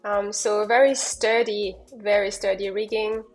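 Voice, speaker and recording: A woman's voice: medium pitch, fairly even intonation, a little slow, faint music behind, low-quality recording. A woman giving an enthusiastic walkthrough of a sailing yacht as a broker, talking loosely with fillers and restarts. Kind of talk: walkthrough narration.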